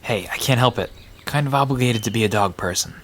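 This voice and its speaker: A man's voice: medium pitch, fast, fairly bright tone, a little hiss or hum, natural voice. A man playing a laid-back, bookish park resident in an audio drama, casually explaining myths with a dry manner. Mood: easygoing, informative. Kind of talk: conversational audio drama storytelling.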